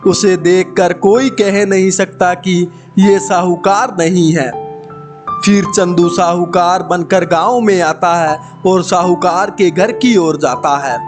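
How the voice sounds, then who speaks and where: A man tells a scripted cartoon horror tale in an unusually high voice. His storytelling is slow and measured, with loud music playing behind him. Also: steady tone. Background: loud background music.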